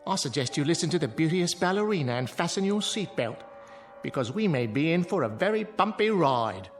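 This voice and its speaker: Casting: world-weary mocking clown for radio play; grand, wordy, lightish pitch, very lively swings. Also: male voice, fairly quick, faint music behind. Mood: wry, resigned. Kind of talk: radio drama acting.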